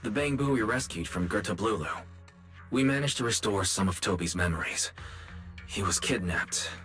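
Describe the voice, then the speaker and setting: A man's voice: on the low side, normal speed, soft background music. A man playing an earnest, inquisitive young detective in a video game, wary and questioning. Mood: serious. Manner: questioning, expressive, puzzled. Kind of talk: video game story voice acting.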